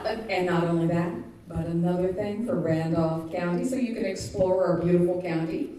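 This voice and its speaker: A woman's voice: on the low side, normal speed, warm tone. A woman co-hosting a live comedy game show, bantering and announcing from the stage. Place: community center stage before live audience. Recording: echoey live hall, distant mic, audience.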